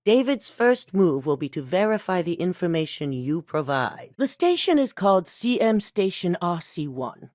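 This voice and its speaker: An icy corporate boss, low-voiced. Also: female voice, very animated, moderate pace, few pauses, darkish tone, no echo, studio quality. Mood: cold. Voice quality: clipped, commanding, icy. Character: cold ruthless woman corporate executive. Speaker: video game character. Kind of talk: game dialogue voice acting.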